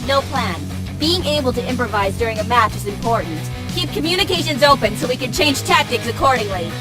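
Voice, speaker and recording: A woman playing a bossy, short-tempered young female mech pilot and team leader in an anime-style game, brisk and commanding. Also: on the high side, expressive, close to an everyday voice. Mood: brisk, businesslike. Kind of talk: anime-style visual novel voice acting. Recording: music behind the voice.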